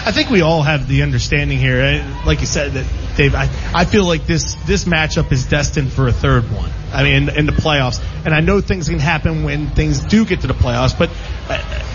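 A man's voice: on the high side, moderate pace. A man hosting a casual sports panel show, talking in a rambling, opinionated way. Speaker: sports radio show host. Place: live broadcast from a casino. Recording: muffled, clearly noisy live venue recording.

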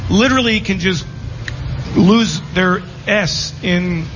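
A male sports radio host with a slightly high voice asks questions in a casual, emphatic way, often restating them. The recording is muffled, with obvious venue noise behind him. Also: slow pace. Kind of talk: casual live sports interview. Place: live radio broadcast from a casino.

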